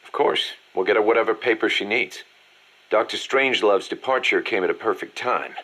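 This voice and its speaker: An actor playing a shrewd, pragmatic mercenary second-in-command in a video game, talking in a businesslike, calculating way. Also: male voice, fairly low pitch, brisk, a bit bright. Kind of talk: dramatic video game dialogue.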